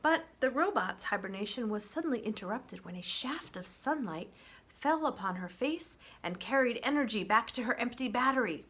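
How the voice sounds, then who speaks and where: A woman reading a children's novel aloud in an expressive, measured, gentle storytelling style, softening at phrase ends. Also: fairly high pitch, husky.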